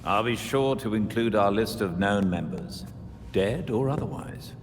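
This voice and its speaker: A man acting a polite, measured older adviser with formal phrasing in a video game cutscene. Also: fairly low pitch, lightly acted. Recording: reverberant room, faint noise.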